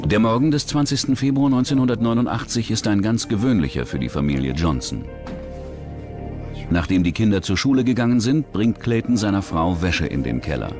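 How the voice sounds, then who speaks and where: A man reading scripted true-crime documentary narration in a measured, dramatic storytelling manner. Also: fairly low pitch, lively intonation, music in the background.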